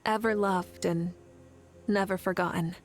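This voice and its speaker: A woman voicing a composed, resolute and kind warrior leader in a video game, calm and self-assured. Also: mid-range voice, measured pace, lightly acted. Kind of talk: dramatic video game voice acting. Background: game music.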